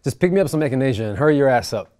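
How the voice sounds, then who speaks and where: A man's voice: medium pitch, animated, very fast, a little mellow, close to an everyday voice. An actor playing a brash, bossy man in his forties who keeps coughing, at a table read of a screenplay. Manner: gruff, curt, demanding.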